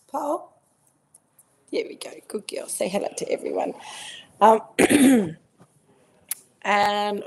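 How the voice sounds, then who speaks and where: Croaky Australian woman hosting a livestream. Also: medium pitch. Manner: chatty, greets viewers by name, warm asides. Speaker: winemaker hosting a virtual tasting. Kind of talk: casual livestream chat.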